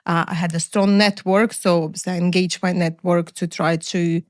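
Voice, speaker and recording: Brief: middle-aged woman from Ukraine, finance guest, low voice, careful and listing, dry close mic. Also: fairly even intonation, dry recording. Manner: measured, searching for words, listing points. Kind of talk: interview answers.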